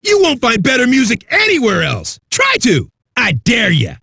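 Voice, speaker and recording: A man playing a brash, foul-mouthed metal radio DJ in a video game, with a raspy voice and rowdy, swaggering delivery. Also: very high-pitched, wildly swooping pitch, moderate pace, bright, forceful tone, dry recording, shouting, studio quality, heavily acted. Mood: rowdy excitement. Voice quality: raspy, gravelly. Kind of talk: high-energy rock radio DJ patter.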